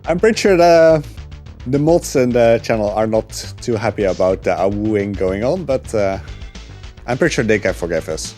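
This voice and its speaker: A man giving relaxed live commentary on his speedrun, explaining the route with frequent fillers. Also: lowish voice, normal speed, faint music behind.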